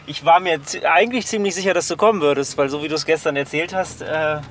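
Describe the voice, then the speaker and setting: A man with a somewhat high voice chats in an unscripted, casual way. There is light urban and vehicle noise around him. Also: quick pace, fairly bright tone. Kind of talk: casual chat. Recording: noisy outdoor recording with traffic.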